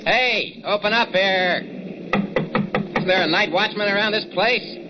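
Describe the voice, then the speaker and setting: Pushy young reporter, old-time radio. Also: male voice, high-pitched. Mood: brisk. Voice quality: brisk, pushy. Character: brash young American newspaper reporter. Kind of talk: 1940s radio acting. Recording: dull old radio recording with hiss.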